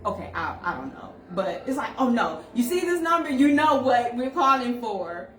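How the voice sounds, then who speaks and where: A woman's voice: a little high, sing-song, moderate pace, a bit bright. Giggly chatty woman in an echoey room. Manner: giggly, chatty, self-teasing. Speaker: host. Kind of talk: casual live call-out chat. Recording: echoey room, mic far off, noisy.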